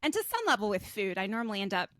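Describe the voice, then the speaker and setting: A woman's voice: mid-range voice, very animated, very fast, fairly bright tone, no echo. A woman in her forties, a guest coach, talking in an earnest, reflective way and laughing often in a casual podcast interview. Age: 40s. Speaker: guest, body image coach.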